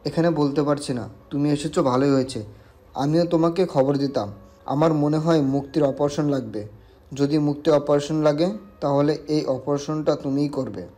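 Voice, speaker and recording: An actor playing a calm, reassuring senior doctor in a Bengali audio story, speaking in a measured way. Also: male voice, on the high side, close to an everyday voice. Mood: concerned.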